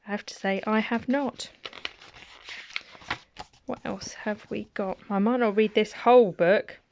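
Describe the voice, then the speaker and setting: Woman, middle-aged, gentle bedtime reading, chatty asides, muffled recording. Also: medium pitch, fairly even intonation, a little slow, vocal fry, background noise. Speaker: parent reading bedtime rhymes. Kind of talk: reading nursery rhymes aloud.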